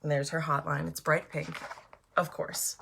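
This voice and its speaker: A woman leads a children's story time with a bright, forceful voice, pitched slightly low. She is animated and encouraging as she speaks straight to young listeners. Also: measured pace, creaky, a little hiss or hum. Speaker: author reading a storybook to children.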